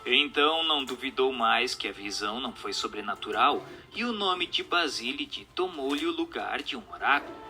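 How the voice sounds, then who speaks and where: Male narrator, mid-pitched and very bright; formal, measured scripted reading. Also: expressive, soft background music. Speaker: narrator reading a book aloud.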